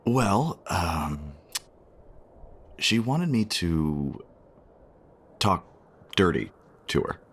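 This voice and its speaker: Man playing a low-voiced, shy, awkward guy. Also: sing-song, slightly rough, exaggerated voice. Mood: flustered, exasperated. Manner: hesitant, halting, with sheepish chuckles. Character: shy, awkward, self-conscious man on a winter evening. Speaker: voice actor in a romance audio play. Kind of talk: naturalistic radio play dialogue.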